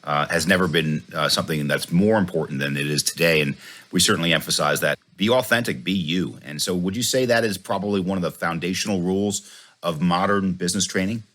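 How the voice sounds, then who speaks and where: A middle-aged man interviewing a guest, asking thoughtful, measured questions with frequent hesitant fillers. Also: deep voice, a bit bright. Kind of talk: conversational interview.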